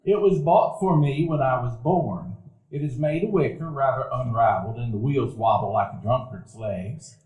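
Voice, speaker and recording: A man's voice: medium pitch, normal speed, a little mellow, dull audio. Man narrating a memory in a hall. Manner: reflective, storytelling. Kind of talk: live radio play narration. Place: event hall before a live audience. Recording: echoey hall recording.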